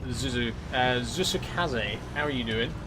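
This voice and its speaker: A man's voice: mid-range voice, a little slow, noisy background. A British man chatting casually and politely to viewers as a livestreamer. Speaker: live streamer. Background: background guitar music.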